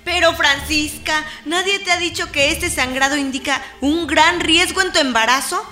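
High, bright-voiced anxious Mexican countrywoman. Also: normal speed. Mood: alarmed, exasperated. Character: Esperanza, anxious pregnant countrywoman helping her cousin. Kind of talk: Spanish-language radio drama acting.

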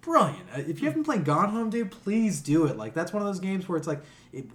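Animated, blunt man podcasting. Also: sits high, brisk. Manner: profane, blunt, emphatic. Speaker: podcast host. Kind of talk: casual opinionated podcast chat about games.

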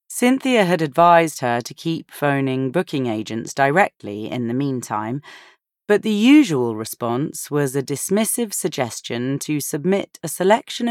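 A woman narrating a novel in a measured, expressive storytelling manner. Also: extremely low pitch, dry recording, studio quality. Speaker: audiobook narrator.

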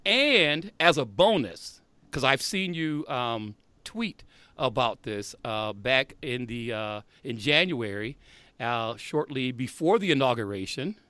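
A man's voice: medium pitch, lively intonation, takes it slowly, bright, forceful tone, no room sound. A man hosting a podcast interview in an upbeat, chummy way. He keeps checking in with "right?". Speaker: podcast host.

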